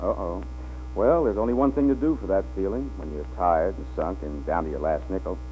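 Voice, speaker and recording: An actor playing an easygoing, kindly movie-studio pal in a 1940s radio drama, on a tinny, humming recording. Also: male voice, low voice, a little fast, dark tone, slight background noise, close to an everyday voice.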